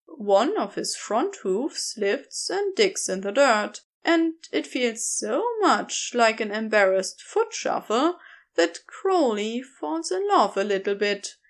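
A woman's voice: a little high, big pitch swings, takes it slowly. A woman narrating a story as an audiobook, reading expressively from a script.

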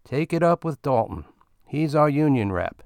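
A gruff, fed-up space miner who answers in curt, clipped lines, voiced by a man reading the story. The sound is close and dry, with no room around it. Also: fairly high pitch, sing-song, normal speed, a little mellow, no echo, voice pushed up. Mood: irritated.

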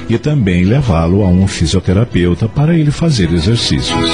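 A man's voice: sits low, darkish tone. A man with a Brazilian accent narrating a scripted radio drama.

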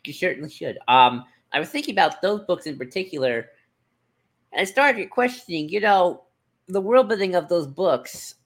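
A man's voice: sits high, expressive, moderate pace, gravelly, fairly bright tone. A man co-hosting a podcast, chatting casually in a loose, rambling way as he thinks aloud. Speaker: podcast co-host. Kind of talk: casual chat about books.

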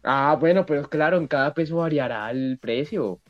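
A man's voice: fairly high pitch, normal speed, no echo. Colombian man chatting, muffled, music behind. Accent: Colombian Spanish. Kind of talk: casual group chat.

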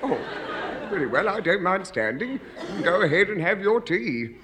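An actor playing a chatty, imposing next-door neighbour in a 1950s radio comedy, with a nasal voice and a knack for loud animal impressions. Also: male voice, high-pitched, sing-song, moderate pace, a crowd in the background. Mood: cheery. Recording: noisy old radio archive recording.

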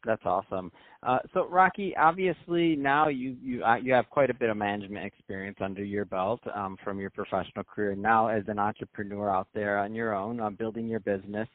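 A man's voice: on the low side, a little fast, no room sound. A man hosting a business podcast, introducing the show and guest in an enthusiastic, promotional way. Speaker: podcast host. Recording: dry, phone-like sound.

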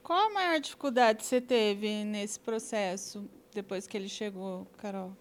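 A woman's voice: medium pitch, moderate pace. A woman co-hosting a podcast, asking questions attentively and finishing the guest's sentences. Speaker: podcast co-host. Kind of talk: interview questions.

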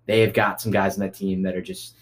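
A man's voice: low-pitched, level tone, quick pace. A man on a casual sports chat, weighing his views with a lot of "I think". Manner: thoughtful, hedging with "I think". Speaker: panelist.

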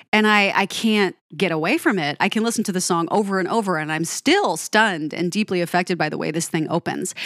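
A woman's voice: on the low side, a bit bright, no room sound, studio quality. A woman giving an enthusiastic, chatty breakdown of a song to her viewers, laughing often. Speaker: music reaction commentator.

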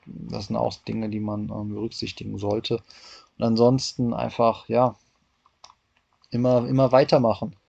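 Halting, gravelly man in his thirties. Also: on the low side, level tone, darkish tone, no room sound, highs cut off. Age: 30s. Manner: hesitant, many fillers and restarts. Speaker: racing driver guest. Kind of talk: casual interview answers. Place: hotel room.

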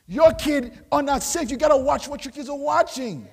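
A Haitian man with a Haitian French accent, a pastor preaching a sermon, rising into loud shouted exhortations. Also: very high voice, animated, moderate pace, vocal fry. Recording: long hall reverb.

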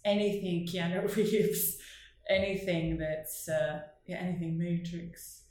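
A woman's voice: fairly low pitch, level tone, slow pace, darkish tone, very echoey. A woman from northern Norway with a Norwegian accent, a guest in an interview, talking in a rambling, self-correcting way and laughing often. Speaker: artist guest. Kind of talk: casual interview chat.

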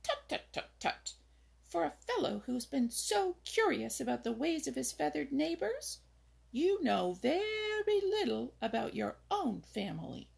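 A woman voicing a sharp, scolding little female wren in a storybook, with a raspy voice. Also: sits high, big pitch swings, measured pace, voice pushed up, full character voice.

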